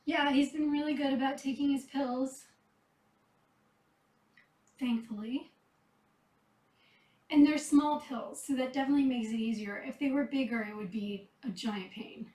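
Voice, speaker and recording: A high-pitched woman chats softly about caring for rescue cats, her voice turning a little hoarse. She sits back from the mic. The room around her rings with echo. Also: steady tone, measured pace, pauses often, recorded from a distance. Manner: chatty, soft-spoken, talks to the cats between remarks. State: losing her voice, a bit hoarse. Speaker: cat rescuer. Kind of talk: casual chat while tending kittens. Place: kitten room indoors.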